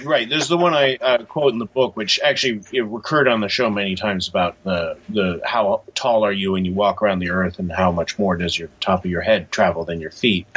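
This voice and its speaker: Lowish raspy guy being interviewed, thoughtful and hesitant, dull lo-fi audio. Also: a bit bright. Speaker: interviewed author. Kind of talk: reflective interview conversation.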